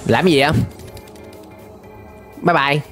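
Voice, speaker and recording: A man's voice: on the high side, slow. A young man with a southern Vietnamese accent giving casual, exclaiming commentary on a cartoon as he watches it. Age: young adult. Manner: animated, exclaiming. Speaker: reaction streamer. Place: indoor streaming room. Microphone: close desk microphone, headset on. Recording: music playing behind the voice.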